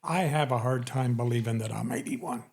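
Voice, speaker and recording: A man's voice: medium pitch, moderate pace, darkish tone. A man in his eighties from Illinois, a podcast guest answering plainly and in short, laid-back phrases. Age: 80s. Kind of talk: casual conversation.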